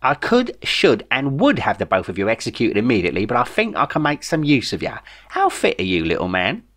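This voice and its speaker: A man's voice: mid-range voice, huge pitch swings, a little fast, fairly bright tone. A man voicing a bossy, vengeful alien queen with a brash, sarcastic Cockney accent, reading an audiobook story. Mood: menacing, sarcastic. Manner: brash, bawling, sarcastic, slangy.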